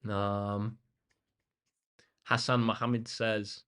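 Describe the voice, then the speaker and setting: A young English man hosting a maths livestream, chatting casually with viewers in a relaxed way and using lots of ums and uhs. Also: lowish voice, very slow, smooth. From England. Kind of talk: casual chat answering live comments. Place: indoor room facing a big window.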